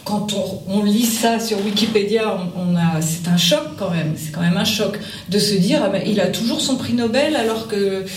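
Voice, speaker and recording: A woman's voice: mid-range voice, normal speed, hardly any pauses. A woman author answering interview questions, speaking hesitantly with many fillers. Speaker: author guest. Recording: roomy and distant with faint noise.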